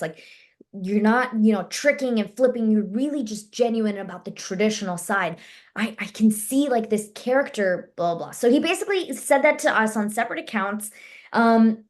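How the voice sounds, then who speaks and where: A woman actress and martial artist, telling her story in a casual interview and acting out the dialogue she quotes. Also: mid-range voice. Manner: animated, acts out quoted dialogue in her stories.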